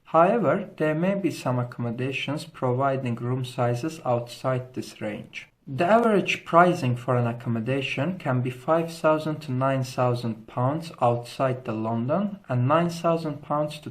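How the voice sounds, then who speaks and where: A man's voice: medium pitch, a little slow, few pauses. A young man with an Azerbaijani accent, giving a scripted informational presentation as a student host, with formal, careful phrasing. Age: young adult.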